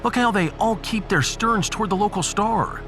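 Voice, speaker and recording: A man playing a sarcastic, excitable, unheroic starship captain in a science fiction audio drama. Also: high-pitched, normal speed, over music, close to an everyday voice. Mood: scheming, insistent. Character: wry, cowardly but bantering male starship captain. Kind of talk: sci-fi audiodrama acting.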